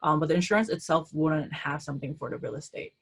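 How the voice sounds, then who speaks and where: A woman's voice: deep voice, quick pace, gravelly, husky. A woman insurance agent answering audience questions in an online webinar over a video call, hesitant, with many ums and uhs.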